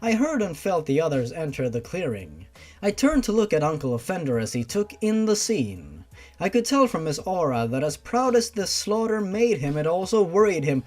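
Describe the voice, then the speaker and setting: Expressive storyteller with lively, swooping pitch. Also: moderate pace. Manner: sarcastic, sweary asides. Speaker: narrator reading fan fiction aloud. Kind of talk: storybook narration with mocking asides.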